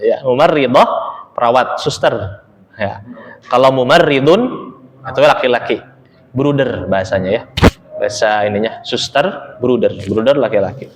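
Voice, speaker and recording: A young man teaching an Arabic lesson, chatty and relaxed, laughing often and reading phrases slowly for students to repeat. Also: medium pitch, a little hiss or hum. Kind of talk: casual online Arabic lesson, reading aloud for repetition. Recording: long reverberant echo.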